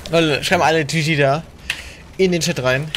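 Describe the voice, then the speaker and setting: A man's voice: on the high side, steady tone, normal speed, a bit bright. A man in his twenties hosting a game livestream, chatting with his partner and talking directly to viewers. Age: 20s. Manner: chatty, addresses viewers, teasing. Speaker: streamer, channel host. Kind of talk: casual gaming livestream chat. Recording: noisy game audio behind.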